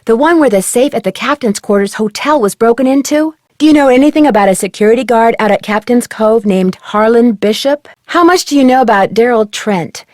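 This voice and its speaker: A woman playing an inquisitive, polite young American detective in a video game, speaking earnestly and clearly. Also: fairly high pitch, animated, fairly quick, hardly any pauses, a little mellow, no echo, clean studio sound, lightly acted. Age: young adult. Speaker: player character in an adventure game. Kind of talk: scripted video game dialogue.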